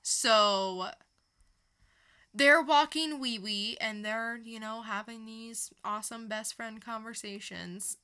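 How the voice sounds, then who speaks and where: Bright-voiced, unhurried woman podcaster. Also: a little high, smooth. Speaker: podcast co-host. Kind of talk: casual comic book review chat.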